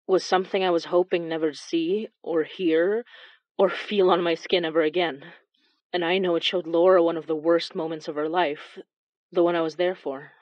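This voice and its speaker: A woman playing a troubled, reflective paranormal investigator in a horror audio drama, speaking in halting, confiding phrases. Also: lowish voice, no room sound, highs cut off, natural voice. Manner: halting, confiding, weighted pauses. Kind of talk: first-person horror audio drama monologue.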